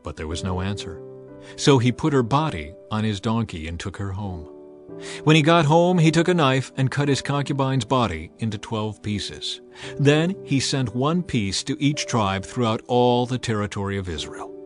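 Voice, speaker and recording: Lower-pitched male scripture narrator over music. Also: expressive, normal speed. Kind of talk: dramatized scripture audiobook narration. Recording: music bed under the voice.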